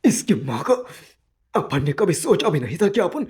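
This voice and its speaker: A man voicing a brash but frightened teenage delinquent who talks in rough street slang, in an anime fan dub. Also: a little high, big pitch swings, moderate pace, a little mellow. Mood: shaken, fearful.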